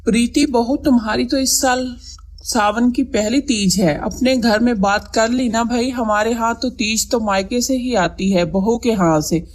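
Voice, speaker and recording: A woman playing an older mother of the family in an animated domestic story. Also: fairly high pitch, measured pace. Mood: taunting, disapproving. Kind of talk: Hindi animated moral story dialogue.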